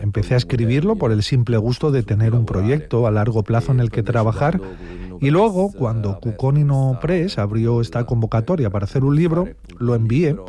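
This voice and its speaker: Guy doing interview dub, mellow relaxed voice, mid pitch. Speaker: voice-over interpreter. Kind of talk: translated interview voice-over.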